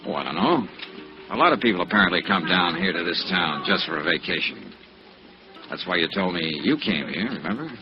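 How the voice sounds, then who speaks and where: A man's voice: deep voice, very animated, fairly quick, over music. A man playing a wry, tough American insurance investigator in 1950s radio noir style, with understated delivery. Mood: guarded, teasing. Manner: wry, hard-boiled, understated. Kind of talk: 1950s radio noir acting, first-person case narration. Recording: dull, lo-fi old radio recording.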